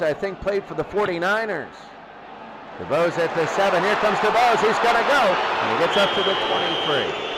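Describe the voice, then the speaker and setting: A man doing excitable play-by-play football commentary in a radio style, often repeating key phrases. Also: high-pitched, background noise.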